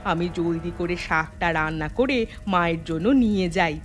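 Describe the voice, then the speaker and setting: A woman playing a sly, dutiful-seeming elder daughter-in-law in a cartoon, with an obliging, expressive delivery. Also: lowish voice, moderate pace, close to an everyday voice. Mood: smug. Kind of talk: Bengali moral-tale cartoon acting. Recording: music under the voice.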